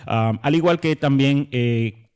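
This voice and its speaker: A man co-hosting a casual podcast, talking haltingly with frequent pauses and fillers. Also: medium pitch, a little mellow, clear, resonant tone. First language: Spanish. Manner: hesitant, many fillers and restarts. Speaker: podcast co-host. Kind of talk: casual chat.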